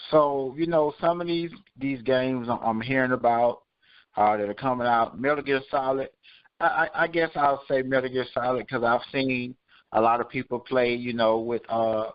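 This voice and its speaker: A man's voice: mid-range voice, no room sound. A man chatting casually as a panelist, somewhat hesitant and tentative in how he talks. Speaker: podcast panelist. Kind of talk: casual chat. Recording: slightly dull, lo-fi audio.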